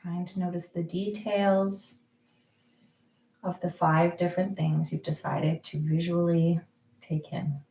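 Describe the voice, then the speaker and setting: A woman slowly and calmly leading a guided mindfulness exercise from her kitchen at home, with many pauses. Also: lowish voice, gravelly, reverberant, low-quality recording. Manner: slow, soft, calm guiding with frequent pauses. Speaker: mindfulness group leader. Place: kitchen or dining room at home.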